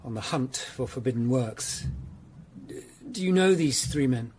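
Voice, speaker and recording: An actor playing a courteous, measured Tudor lawyer-detective, calmly questioning witnesses in a period radio drama. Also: male voice, medium pitch, a little hiss or hum, lightly acted. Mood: businesslike, inquiring. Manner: calm, polite, probing. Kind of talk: period audio drama acting.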